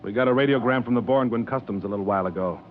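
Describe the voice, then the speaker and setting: A man's voice: mid-range voice, very fast, close to an everyday voice. An actor playing an uneasy, terse spaceship crewman in a 1950s radio drama style. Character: uneasy male spaceship crewman reporting trouble. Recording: tinny, faintly noisy old radio recording.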